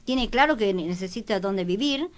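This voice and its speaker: A woman giving conversational opinions as a history panelist, haltingly searching for words. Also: mid-range voice, animated.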